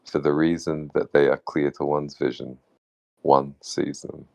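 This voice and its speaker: A man reading a spiritual text aloud in measured, deliberate phrases. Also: very deep voice, flat delivery, gravelly, darkish tone, no room sound. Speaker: participant reading in a book club.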